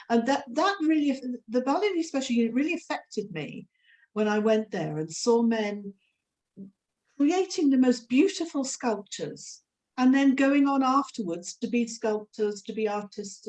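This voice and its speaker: An older woman hosting an interview webinar, asking long, searching questions with frequent pauses. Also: fairly high pitch, darkish tone, rough recording. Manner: hesitant, many fillers and restarts in long questions. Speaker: host, charity chief executive.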